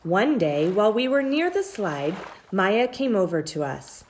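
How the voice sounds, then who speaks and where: A woman reads a children's story aloud at a slow, measured pace. Her pitch moves up and down with lots of expression as she draws out phrases. There is faint noise on the recording. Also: sing-song, darkish tone. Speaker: storybook reader.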